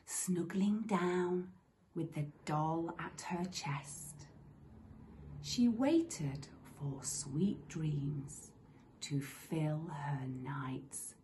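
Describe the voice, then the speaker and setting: A middle-aged woman narrates a children's story in a low, hushed voice. She goes very slowly, often near a whisper. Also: a little hiss or hum. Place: indoors at home, close to camera.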